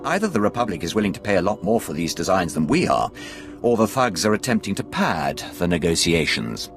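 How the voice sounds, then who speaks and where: An actor playing a curt, smug and impatient Imperial contact in a video game cutscene. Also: male voice, low-pitched, sing-song, fairly quick, fairly bright tone, soft background music, heavily acted. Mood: impatient, scheming.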